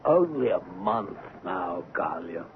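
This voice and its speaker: A low-voiced, middle-aged colonial trader, sober and weary, who speaks slowly and formally. It is old radio drama, heard through a muffled, faintly noisy broadcast recording. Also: male voice, sing-song, heavily acted. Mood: weary, resigned. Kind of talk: 1970s radio drama acting.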